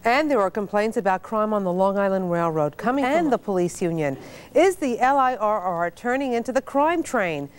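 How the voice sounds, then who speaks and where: A woman's voice: medium pitch, expressive, brisk, smooth. A woman anchoring a television newscast, introducing a reporter's story. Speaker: TV news anchor. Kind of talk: scripted news introduction.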